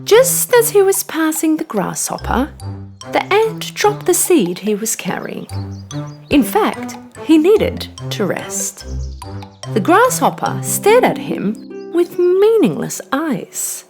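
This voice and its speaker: A woman's voice: sits high, wildly swooping pitch, takes it slowly. A woman narrating a scripted children's story as a storyteller. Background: background music.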